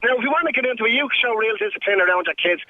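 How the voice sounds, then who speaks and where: A man's voice: sits high, animated, very fast, slight background noise. A man calling into a radio show, arguing in a sarcastic, taunting way and talking over others. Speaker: caller. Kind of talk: heated call-in argument. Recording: tinny phone line.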